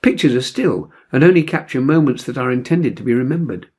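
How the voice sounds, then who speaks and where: A man reading a novel aloud as dramatic literary narration, expressive and measured. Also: medium pitch. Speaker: audiobook reader.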